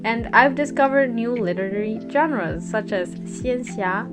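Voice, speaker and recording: A young woman from Peru, a Spanish speaker, telling a scripted storytime in an earnest, gently self-mocking way. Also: a little high, expressive, a little slow. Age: young adult. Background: music bed.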